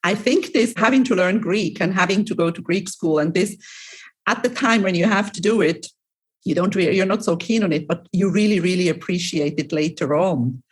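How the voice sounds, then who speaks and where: A woman's voice: fairly low pitch, normal speed, breathy edge, low-quality recording. Woman hosting an interview. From Switzerland. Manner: warm, agreeable, chatty. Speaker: interview host. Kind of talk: casual interview chat.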